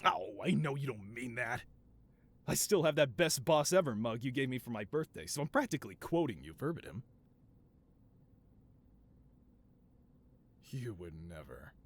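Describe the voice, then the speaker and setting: A man playing a flamboyant, doting mad scientist supervillain boss in a scripted audio roleplay, with a theatrical, wheedling, self-justifying manner. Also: mid-range voice. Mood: wounded, defensive.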